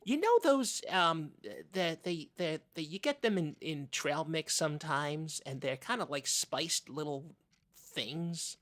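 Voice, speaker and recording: A man's voice: a little high, lively intonation, unhurried, a bit bright. A man playing an earnest, easily rattled oddball co-host on a comedy podcast, rambling and stammering as he talks. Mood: sincere, halting. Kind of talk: improvised comedy chat in character.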